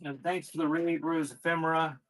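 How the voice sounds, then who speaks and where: A man's voice: fairly high pitch, flat delivery, fairly quick, slight background noise. Rambling man chatting casually on a live stream. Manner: rambling, scattered, thinking out loud. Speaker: live-stream seller hosting a sale and unboxing.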